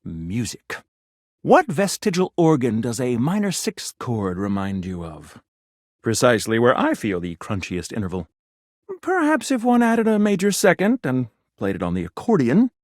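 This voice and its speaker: Pompous, flamboyant snob with swooping pitch. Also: male voice, wildly swooping pitch, normal speed, darkish tone, no room sound, studio quality. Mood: musing, snobbish. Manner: grandiose, erudite, theatrical diction. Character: pompous, flamboyant male mascot, snobbish connoisseur of food and music. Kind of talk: video game character acting.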